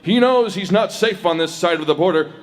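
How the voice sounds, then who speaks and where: An actor playing a masked Western hero who urgently warns an army officer, in a stage reenactment of an old-time radio drama. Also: male voice, high-pitched, animated, a little fast, in a large hall. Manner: forceful, declamatory.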